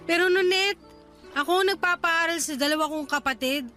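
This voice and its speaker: A woman's voice: extremely high pitch, a little fast, bright, forceful tone, faint music behind. An actress playing a brash, ambitious young Filipino woman in a radio drama, sassy and dismissive, with a Filipino accent and English phrases mixed in. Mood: frustrated, insistent.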